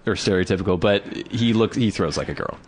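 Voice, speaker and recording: A man's voice: on the low side, fast, slight background noise. A man co-hosting a podcast, chatting casually and wryly, often laughing. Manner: wry, rambling, chuckles often. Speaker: podcast co-host. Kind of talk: casual chat about movies.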